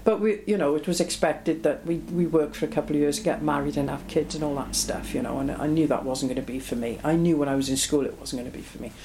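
A woman's voice: deep voice, fast talker, few pauses, dark tone, slight background noise, rough recording. A woman in her seventies, born in Belgium, recalling her life in an oral history interview, reflective and plain-spoken. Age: 70s.

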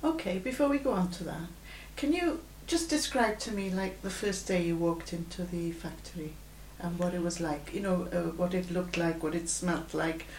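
A woman conducting an oral history interview, gently prompting with questions. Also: lowish voice, moderate pace, few pauses. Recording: echoey room with faint hum.

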